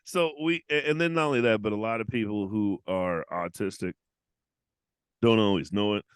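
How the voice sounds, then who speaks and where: A man's voice: fairly low pitch, moderate pace, clear, resonant tone, no room sound. A man hosting a casual podcast, joking around, teasing his guest with crude insults and laughing often. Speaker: podcast host.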